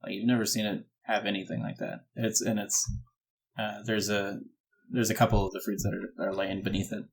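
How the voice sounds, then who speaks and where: Male game master, lowish voice, halting improvised fantasy narration for a tabletop session. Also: little pitch movement, gravelly, a little hiss or hum, low-quality recording. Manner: halting, repeats and rephrases as he describes.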